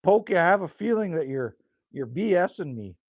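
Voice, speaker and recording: A man's voice: high-pitched, big pitch swings, moderate pace, gravelly, darkish tone, dry recording. Folksy fifty-something man. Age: 50s. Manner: folksy, rambling, chatty with viewers. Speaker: livestream host reading chat. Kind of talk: casual livestream chat. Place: outdoors by a rural cabin.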